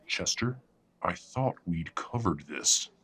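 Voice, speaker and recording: Man playing deep-voiced imperious father, husky and coldly polite. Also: expressive, slow, bright, forceful tone, heavily acted. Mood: smooth condescension. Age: middle-aged. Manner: formal, condescending, commanding. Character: imperious wealthy werewolf patriarch, coldly polite, controlling father. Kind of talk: scripted audio drama acting.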